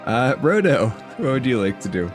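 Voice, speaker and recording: A man's voice: mid-range voice, very animated, moderate pace, soft background music. A man casually narrating a tabletop game as game master and asking players what they do.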